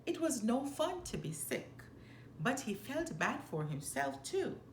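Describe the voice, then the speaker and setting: Woman, children's read-aloud narration, slow deliberate delivery, expressive storytelling, roomy echo with faint noise. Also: high voice.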